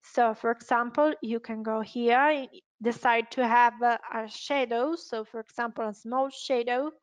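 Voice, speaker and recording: Female software trainer, Italian accent, fairly high voice, unhurried and hesitant, explaining step by step. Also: fairly even intonation, clear, resonant tone. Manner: hesitant, unscripted explaining with self-corrections. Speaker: webinar presenter, software trainer.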